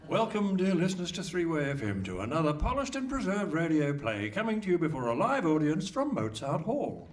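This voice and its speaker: A man's voice: on the high side, sing-song, a little fast, a little hiss or hum. A man playing a wry, bantering announcer in a comic radio play. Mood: grand. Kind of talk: comic scripted narration before live audience. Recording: echoey hall, slightly distant mic.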